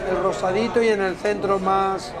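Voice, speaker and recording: A man in his fifties, a former footballer, talking in an unhurried, reflective way over lunch in a restaurant and often repeating words as he searches for them. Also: high voice, level tone, over a noisy crowd. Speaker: interview guest, former footballer. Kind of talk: relaxed conversation over a meal. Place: restaurant by large windows.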